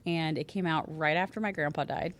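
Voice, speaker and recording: A congested woman in her forties chatting on a podcast, her voice sitting very low for a woman. She talks freely and laughs often. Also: brisk. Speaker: podcast co-host. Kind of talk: casual chat.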